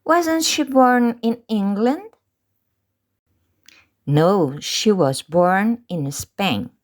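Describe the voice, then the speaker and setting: A woman teaching English through slow, deliberate pronunciation drills, pausing between short phrases. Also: mid-range voice, lively intonation. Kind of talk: slow drilled language lesson.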